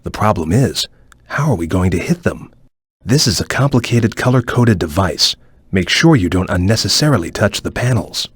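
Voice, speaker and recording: A man voicing a stern, watchful cartoon ninja guide in a video game, with a measured, formal, cautious way of advising. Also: sits low, animated, slightly rough, dry recording, clean studio sound, full character voice. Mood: cautious, instructive. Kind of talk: video game voice acting, short hint lines.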